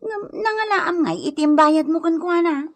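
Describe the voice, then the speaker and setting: Casting: nagging wife of a gambler, high-pitched and exasperated, radio comedy. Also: female voice, moderate pace. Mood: mildly reproving. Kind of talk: Ilocano comedy radio drama acting.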